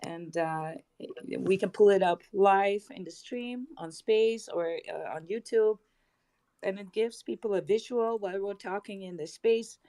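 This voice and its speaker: A woman's voice: medium pitch, measured pace, warm tone. A woman from the Netherlands hosting a live online audio chat, bubbly and chatty, laughing often and trailing off mid-sentence. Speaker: host of a live crypto audio space. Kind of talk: casual live-stream chat.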